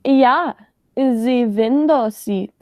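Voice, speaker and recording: A nervous doctor sidekick played by a teenage girl in an amateur radio play. The voice is high and unhurried, hesitant and pleading as it tries to soothe a dangerous boss. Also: a little mellow. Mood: timid, coaxing. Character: nervous, timid doctor, henchman to a villain.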